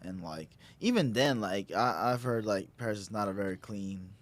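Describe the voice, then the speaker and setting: A man's voice: on the low side, lively intonation, normal speed. A man co-hosting a casual podcast, telling stories in loose, slangy talk with swearing. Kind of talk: casual chat.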